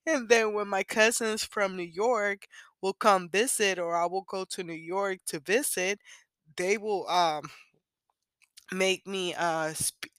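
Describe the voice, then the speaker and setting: A woman's voice: medium pitch, fairly bright tone, no echo. A woman in her thirties from Puerto Rico, a native Spanish speaker with a Puerto Rican accent, hosting a casual, candid solo podcast. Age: 30s. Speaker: podcast host.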